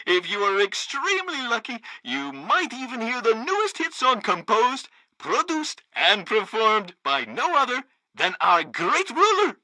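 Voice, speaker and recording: Gleefully loyal state radio host: bright, forceful, swooping delivery, pompous and sycophantic, game news satire. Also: male voice, high-pitched, dry recording, heavily acted, poor audio. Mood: grandiose, fawning. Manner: grandiose, sycophantic.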